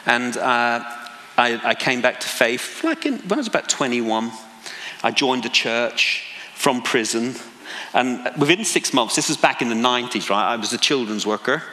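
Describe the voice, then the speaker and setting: A man's voice: mid-range voice, expressive, moderate pace, sharp tone. A man in his forties with a Northern Irish accent, preaching a conversational sermon that builds to passionate emphasis, with self-deprecating asides. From Northern Ireland. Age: 40s. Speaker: pastor. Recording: long hall reverb.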